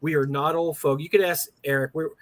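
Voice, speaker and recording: A man's voice: a little high, fairly even intonation, fairly quick. A man in his sixties, co-hosting a casual chat and rambling with many restarts. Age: 60s. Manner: rambling, repeats words when starting. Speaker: podcast co-host.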